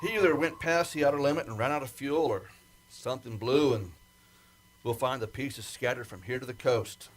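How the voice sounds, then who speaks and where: A man's voice: mid-range voice, normal speed, lightly acted, low-quality recording. An actor playing a worried, weary male flight control chief in an old-time radio drama, urgent and stammering. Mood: weary, grieving.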